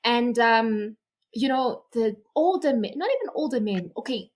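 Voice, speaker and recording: A young woman from Zimbabwe talks as a podcast guest in a relaxed conversational style. She tells her story with energy and often restarts her sentences. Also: on the high side, a little slow. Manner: animated storytelling with repeated restarts. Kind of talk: casual conversation.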